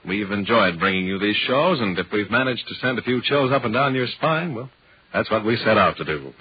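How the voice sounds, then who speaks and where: A man's voice: on the low side, lively intonation, a little fast, slightly rough, no echo. An American man announcing in a 1940s broadcast style. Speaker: radio announcer. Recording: muffled vintage radio broadcast.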